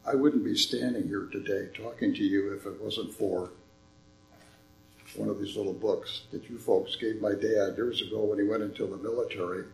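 An older man speaking in a church, making an earnest, folksy appeal with a slightly hoarse voice. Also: on the low side, steady tone, moderate pace, a little mellow, roomy sound, slight background noise, low-quality recording. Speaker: visiting ministry speaker. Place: church sanctuary.

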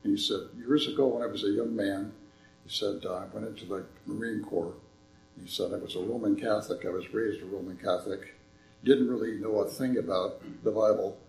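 Older man, earnest church speaker, slightly hoarse, low and gravelly, roomy echo. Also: fairly even intonation, a little fast, a little mellow, a little hiss or hum. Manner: earnest, folksy storytelling. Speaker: visiting ministry speaker. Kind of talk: church appeal talk. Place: church sanctuary.

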